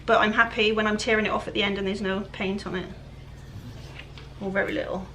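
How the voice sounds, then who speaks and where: A woman with a croaky, raspy voice, chatting casually while she works through a furniture project. She sounds a little breathless and often lets her sentences trail off quietly. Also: mid-range voice, flat delivery, brisk, slight background noise, rough recording. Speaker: furniture flipper. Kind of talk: casual how-to chat while working.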